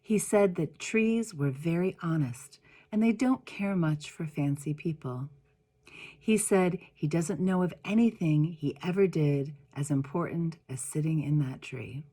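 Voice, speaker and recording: A woman reads a storybook aloud in a low voice. She takes it very slowly, with deliberate pauses and expressive phrasing, as a minister might when telling a story to all ages. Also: husky. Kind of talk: storybook read-aloud, first-person narration.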